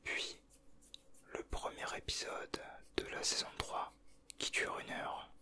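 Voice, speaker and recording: A man whispering softly in a casual ASMR style, with a breathy, hushed voice. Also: takes it slowly. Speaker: ASMR video creator. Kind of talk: whispered ASMR, chatting and reading aloud from a booklet.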